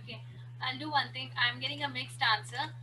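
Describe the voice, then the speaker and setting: A woman teaching an online class over a video call, pausing often with fillers as she searches for words. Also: high-pitched, steady tone, pushed and bright, a little hiss or hum, low-quality recording.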